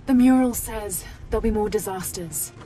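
A determined young adventurer voiced by a woman speaks with a British accent in a video game cutscene. She is earnest and intent, in a middle register, over prominent music and vehicle rumble. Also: normal speed, warm tone, lightly acted. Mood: focused, thoughtful. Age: young adult. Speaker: lead adventurer character. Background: music, aircraft cabin rumble.

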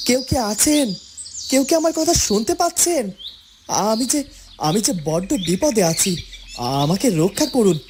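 A man playing a helpless young fairytale hero, pleading and melodramatic in a cartoon. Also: sits high. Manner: pleading, breathless repetition, melodramatic. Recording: noisy track with birdsong and insects.

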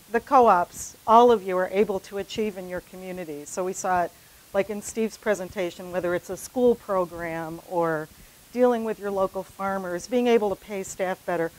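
A woman's voice: medium pitch, poor audio. A middle-aged woman speaking off the cuff at a conference, conversational with many fillers and restarts, building to a rallying finish. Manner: animated, gesturing widely, rising to a rallying finish. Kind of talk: unprepared conference talk. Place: conference room in front of a poster. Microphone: handheld mic.

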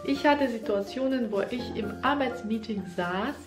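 Give me a young non-native woman speaking German to the camera in an echoey room, a little high, with music behind. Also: moderate pace. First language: not German. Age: young adult. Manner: earnest, slightly non-native phrasing, speaks with hands. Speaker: German teacher vlogger. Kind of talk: casual talk to camera. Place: small room, indoors. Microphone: camera in front, head-and-shoulders framing.

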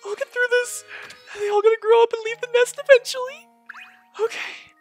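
A man hosting a puzzle game walkthrough, reading hints aloud and gushing excitedly over the characters. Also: extremely high pitch, brisk. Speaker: let's-play host. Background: game music.

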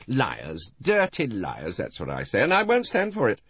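A man's voice: medium pitch, huge pitch swings, normal speed, breathy edge, dull audio, pitched above the performer's own voice, full character voice. A man voicing a tipsy, indignant older woman who rambles and fumbles for words, in an audiobook. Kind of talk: audiobook character voice.

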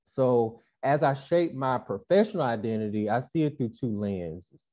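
A man's voice: mid-range voice, expressive, moderate pace, mellow, dull audio. A young man from Mississippi with a Southern accent, speaking earnestly as a panel guest on a video call, with many "uh" and "um" fillers. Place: small room indoors. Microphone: earbuds on a video call.

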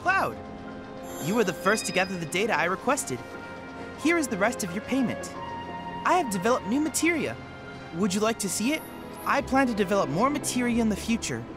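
An actor playing a boyish, earnest research intern in a video game, speaking formally and precisely in a slightly robotic, analytical way, over music. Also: male voice, high-pitched, sing-song, brisk, long pauses. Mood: pleased, formal. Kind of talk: video game character acting.

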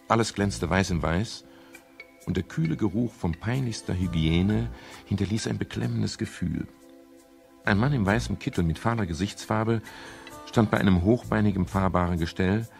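A world-weary, dryly wry private detective from a seventies radio crime play. His voice sits very low and crackles with gravel, both when narrating and when sparring in dialogue. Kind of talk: 1970s German radio crime drama, first-person narration and dialogue.

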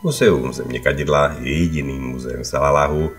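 Very deep male travelogue narrator over music.

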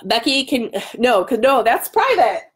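A woman's voice: fairly high pitch, sing-song, unhurried. A woman in her thirties chatting casually as she hosts a live question session, wandering off on tangents, recorded at home on a webcam. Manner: chatty, self-interrupting tangents. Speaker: author hosting a live chat. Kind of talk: casual chat, answering viewer questions. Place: home dining room. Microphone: webcam at arm's length.

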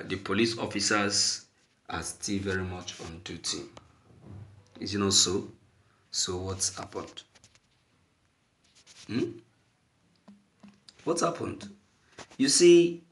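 A man giving opinionated news commentary as an online commentator, halting and deliberate, repeating phrases emphatically for stress. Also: deep voice, fairly bright tone, slight background noise.

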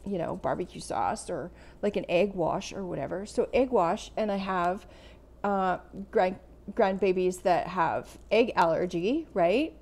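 Slow-talking middle-aged woman vlogger. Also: mid-range voice, a little mellow. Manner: chatty, repeats phrases, frequent "right?". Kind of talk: casual kitchen demo. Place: home kitchen, indoors. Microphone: earphone headset mic, phone held close.

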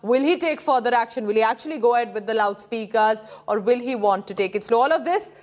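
Woman in her thirties, Indian accent, news anchor delivery, fairly high pitch, studio. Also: fast talker, darkish tone. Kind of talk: news presenting.

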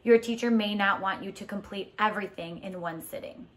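A woman reads a story aloud for children in a medium-pitched voice. Her delivery is deliberate and expressive, with emphasis on key words, in the manner of a teacher giving a lesson. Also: a little hiss or hum. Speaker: teacher reading a picture book aloud. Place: indoors at home, tiled floor.

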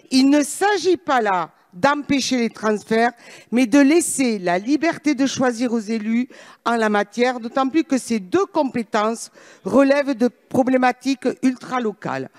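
A woman's voice: a little high, darkish tone. A middle-aged woman giving a formal parliamentary speech, partly read from notes, into a close microphone. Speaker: member of parliament. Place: large parliamentary chamber. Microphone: gooseneck microphone held close.

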